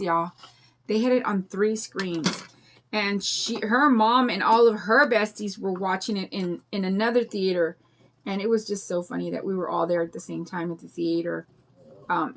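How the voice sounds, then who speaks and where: An older woman with a raspy voice and a drawl, chatting in a rambling way and greeting viewers while she demonstrates a craft on a livestream. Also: medium pitch. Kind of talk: casual chat during a craft demo.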